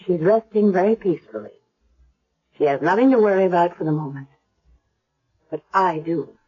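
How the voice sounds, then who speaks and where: An American actress playing a caring, earnest, gently persistent woman doctor in a radio drama. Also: lowish voice, expressive, normal speed, breathy edge. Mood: probing. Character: caring, earnest, probing female hospital doctor. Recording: dull vintage radio broadcast.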